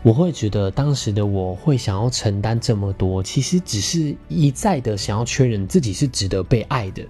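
A man's voice: medium pitch, warm tone, breathy edge. A man with a Taiwanese Mandarin accent hosting a podcast, speaking colloquially and earnestly to listeners as he shares personal stories and relationship advice. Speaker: podcast host.

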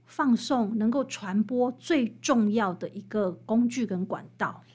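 Higher-voiced Taiwanese woman, animated storyteller. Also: unhurried, husky. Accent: Taiwanese Mandarin. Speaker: author guest. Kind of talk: conversational interview explaining music history.

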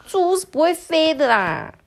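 Jeering storybook monkey, voiced by a woman: very high, loud, teasing. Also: very animated, measured pace, gravelly, exaggerated voice. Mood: mocking. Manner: shrill, teasing exclamations.

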